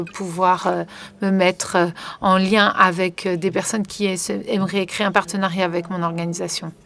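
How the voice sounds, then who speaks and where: A woman working as a conference interpreter, relaying a panel live with frequent fillers and restarts. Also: mid-range voice. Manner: hesitant, many fillers and restarts.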